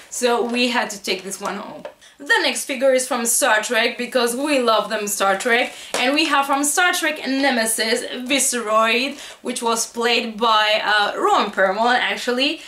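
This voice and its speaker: High-voiced gushing woman in an echoey room. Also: fairly bright tone, slight background noise. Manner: gushing, enthusiastic. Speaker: video host showing a collectibles haul. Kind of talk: casual haul vlog chat.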